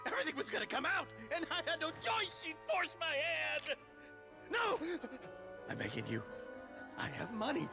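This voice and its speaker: A woman's voice: high-pitched, wildly swooping pitch, normal speed, gravelly, over music, voice pushed up, full character voice. A woman voicing a cowardly, guilty man who stammers and pleads desperately in a dramatic audiobook reading. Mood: desperate pleading.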